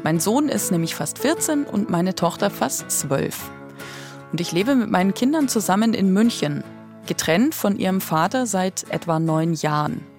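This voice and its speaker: A woman's voice: mid-range voice, expressive, moderate pace, darkish tone, faint music behind. A woman in her thirties hosting a podcast with conversational narration. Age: 30s. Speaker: podcast host.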